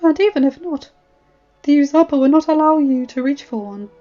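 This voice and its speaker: A woman voicing a kindly, wise, very elderly male maester in a fantasy story, speaking in a courtly, measured way. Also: sits high, expressive, warm tone. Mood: grave, curious. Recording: muffled audio with music.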